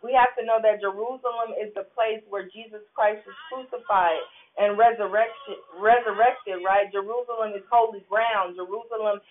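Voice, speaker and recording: A preacher giving a sermon, pausing often with fillers and restarts and checking in with "right?". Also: mid-range voice, fairly even intonation, a little hiss or hum. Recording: tinny phone-like recording with faint music.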